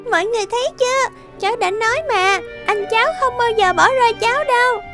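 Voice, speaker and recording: Tiny squeaky kid, proud n earnest, quick, cartoon with music. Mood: proud, insistent. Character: little child, earnest and proudly trusting. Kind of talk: cartoon voice acting. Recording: music behind the voice.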